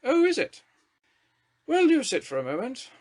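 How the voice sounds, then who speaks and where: A man's voice: very high voice, wildly swooping pitch, moderate pace, voice pushed up, heavily acted. A man voicing an imperious older woman prime minister with a bantering, dismissive drawl.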